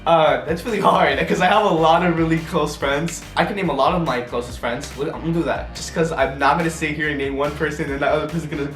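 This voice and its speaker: Upbeat young guy, a touch high, playful and giggly, in an echoey room with faint music. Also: a bit bright. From United States. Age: young adult. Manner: bubbly and playful, quick laughs and jokey asides. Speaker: social media influencer. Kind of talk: casual chat answering questions to camera.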